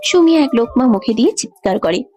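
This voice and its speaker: Female story narrator, high voice, scripted romantic tale, music underneath. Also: expressive, a little fast, darkish tone.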